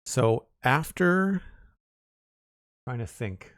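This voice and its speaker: A man streaming a building game, talking casually in a raspy, slightly hoarse voice as he thinks aloud in an unhurried, rambling way. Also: medium pitch, expressive, fairly bright tone, dry recording, clean studio sound. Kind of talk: casual live-stream chat while building.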